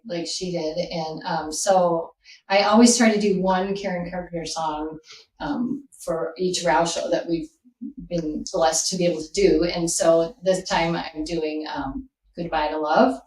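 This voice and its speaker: Band singer, woman, low voice, chatty, miked from a distance in a roomy space. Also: a little mellow, slight background noise. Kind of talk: casual interview chat.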